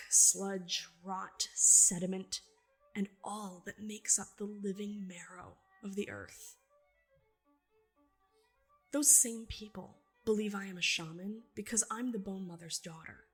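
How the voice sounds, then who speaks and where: A woman as a husky, defiant young swamp healer. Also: mid-range voice, a bit bright. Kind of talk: literary monologue, dramatic reading.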